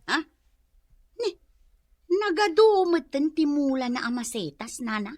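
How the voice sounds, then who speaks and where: A woman's voice: high-pitched, very animated, unhurried, dry recording, studio quality. A woman playing a spiteful, bossy, gossiping sister-in-law in a comedic radio drama, scornful and insistent.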